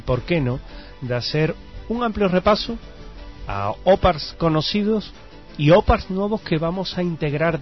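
A man with an accent from Spain hosting a radio show, presenting scripted introductions with solemn, deliberate phrasing. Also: fairly high pitch. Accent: Spanish from Spain. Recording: dull radio sound with music. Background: background music.

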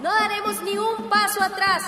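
A woman's voice: extremely high pitch, level tone, normal speed. A woman playing a defiant village activist in a radio drama, declaiming protest slogans emphatically. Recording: echoey, distant, over loud music and crowd. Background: protest crowd and music.

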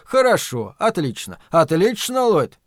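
A man voicing a sinister, commanding dark master who taunts and purrs with false tenderness in an audiobook. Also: sits high, huge pitch swings, unhurried, no room sound, clean studio sound, voice pushed up, exaggerated voice. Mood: mockingly tender. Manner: imperious, taunting, swinging from roars to purring.

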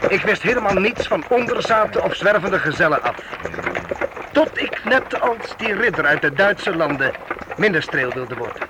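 Young nobleman knight, hearty and open, high-pitched, period radio play, heavy noise. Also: animated, normal speed. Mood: earnest. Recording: very noisy old radio drama recording.

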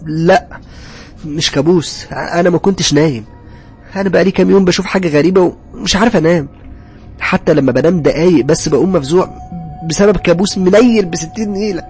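A man with an Egyptian accent voicing a sleepless, desperate, hot-tempered doctor in a horror story, agitated and shouting. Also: on the high side, very fast, faint music behind, pitched above the performer's own voice, close to an everyday voice. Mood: frantic.

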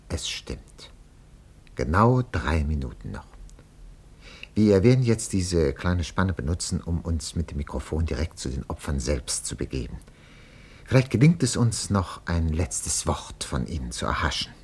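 An actor playing an unctuous, sensation-hungry radio reporter in a 1950s German radio play, theatrical in delivery. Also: male voice, deep voice, very animated, unhurried, breathy edge, heavily acted. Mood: politely intrusive. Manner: theatrical, swinging from declaiming to hushed confiding.

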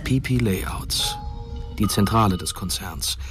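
A man's voice: on the low side, takes it slowly, over music. A man giving scripted literary narration in a radio drama.

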